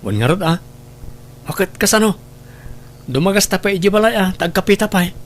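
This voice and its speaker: A man's voice: high-pitched, animated, brisk. A man with an Ilocano accent playing a friendly, inquisitive former boyfriend in an audio drama, chatty and sympathetic.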